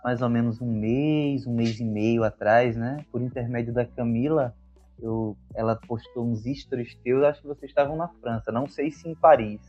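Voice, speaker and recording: A man's voice: mid-range voice, normal speed. A Brazilian man with a Brazilian accent, interviewing a guest in an enthusiastic, effusive way. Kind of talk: casual interview. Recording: dull lo-fi sound with faint music.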